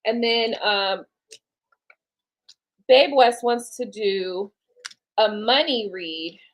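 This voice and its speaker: A woman's voice: medium pitch, drawn-out words. A woman reading tarot cards on a casual live stream, rambling and chatting to her viewers and to spirit. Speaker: tarot card reader.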